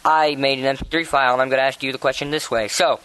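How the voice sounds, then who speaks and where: A man's voice: mid-range voice, little pitch movement, fast talker, bright, forceful tone, clean tone, low-quality recording. A man leaving a casual, rambling voice message as a show listener. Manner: rambling, hesitant. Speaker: listener sending recorded question.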